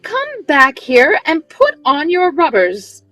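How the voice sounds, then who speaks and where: A motherly older Mrs. Santa bustles and scolds, played by a woman. Her voice sits very high and swings in lively arcs. It is heard in an old-time radio play read live. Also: slow pace, soft background music, exaggerated voice. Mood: scolding.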